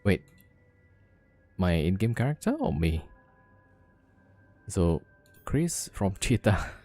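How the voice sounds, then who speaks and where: Low, easygoing man who streams games and chats with viewers over steady game music. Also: takes it slowly. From Indonesia. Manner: relaxed, chatty, answering viewer questions while playing. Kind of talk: casual gameplay chat with viewers.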